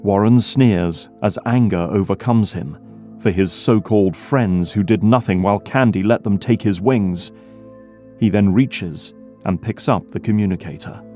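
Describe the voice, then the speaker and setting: Low, mellow male narrator. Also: unhurried, soft background music. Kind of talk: scripted dramatic narration.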